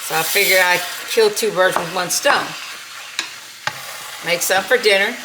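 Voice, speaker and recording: A middle-aged woman talks through a recipe in a relaxed, homey way, pausing with little fillers as she works. Her pitch sits in an ordinary middle range. Clear frying and sizzling noise from the pan fills the home kitchen behind her. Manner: unhurried, homey, talks while cooking with many fillers. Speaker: cooking channel host. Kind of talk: casual cooking demonstration.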